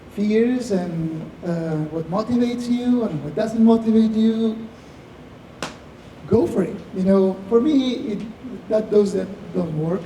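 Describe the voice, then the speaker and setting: Distant, high, mellow Argentinian man. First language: Spanish. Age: 50s. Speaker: tech founder, guest speaker. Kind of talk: live Q&A, anecdotal talk. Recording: distant echoey hall, faint noise.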